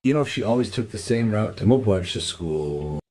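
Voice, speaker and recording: An actor playing a dry, probing detective inspector in a crime radio play, speaking in a measured, questioning way. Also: male voice, lowish voice, animated, a little mellow, slight background noise, natural voice. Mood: polite, sober.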